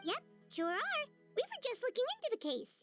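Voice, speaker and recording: A woman voicing a small, chirpy, childlike fairy companion in a video game, bubbly and earnest with a squeaky voice. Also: wildly swooping pitch, brisk, over music, heavily acted. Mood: puzzled. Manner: talks of herself in third person, chirpy. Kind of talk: video game character acting.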